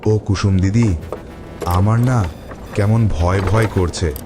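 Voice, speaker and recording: A man playing a timid young village farmhand who fears ghosts, pleading in a trembling, whispery voice for an animated horror story. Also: fairly low pitch, slow. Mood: frightened, pleading. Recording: loud music under the voice.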